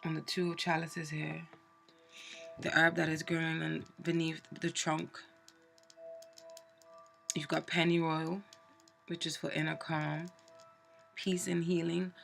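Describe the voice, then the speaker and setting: Croaky stuffed-up woman reading tarot. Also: low-pitched, level tone, a little slow, pauses often, fairly bright tone, soft background music. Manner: chatty, loose, with fillers and restarts. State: stuffed up with a cold. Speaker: tarot reader. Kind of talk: casual tarot card reading.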